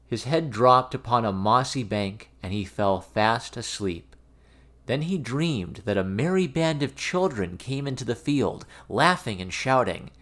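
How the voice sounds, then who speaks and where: A man reading a fairy tale aloud as an expressive, theatrical storybook narration. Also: mid-range voice. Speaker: storyteller, reader of fairy tales.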